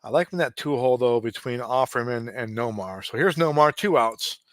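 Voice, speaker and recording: A man in his late forties doing casual play-by-play of a simulated baseball game, relaxed and chatty with frequent asides. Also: mid-range voice, a little fast, no echo. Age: 40s. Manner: relaxed, chatty, given to asides and small restarts.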